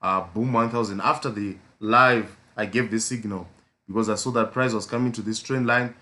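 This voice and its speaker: Hoarse man hosting a trading stream. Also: lowish voice, fairly even intonation, normal speed, pushed and bright. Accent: South African. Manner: repetitive pleading, frequent fillers. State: hoarse from flu. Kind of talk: casual live stream talk.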